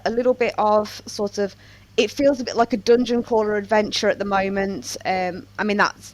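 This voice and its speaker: A woman chatting casually as a podcast host about her interests. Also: mid-range voice. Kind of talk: casual book podcast chat.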